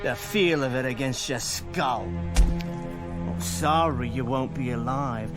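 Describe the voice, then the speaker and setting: A man acting in a radio drama as an exhausted, bitter older professor turned thief, with formal, halting speech. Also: medium pitch, loud music behind the voice, close to an everyday voice. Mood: vengeful gloating. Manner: formal, educated wording, halting pleading.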